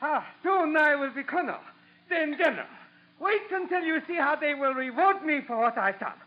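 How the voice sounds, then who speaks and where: An actor playing a boastful little Japanese spy in a 1940s radio drama, with an exaggerated accent and clipped broken English. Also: male voice, very high-pitched, animated, moderate pace. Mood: gloating, fanatical. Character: boastful, fanatical little Japanese spy posing as eager informant. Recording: dull lo-fi 1940s radio recording.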